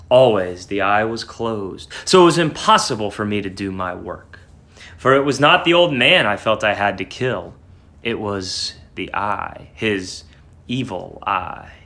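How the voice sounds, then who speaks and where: Man playing an intense, bright-voiced agitated madman. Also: medium pitch. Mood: chilling, hushed. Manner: intense, theatrical, with sudden hushes and swells. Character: agitated madman insisting on his sanity, confessing a murder. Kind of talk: dramatic reading of a gothic short story.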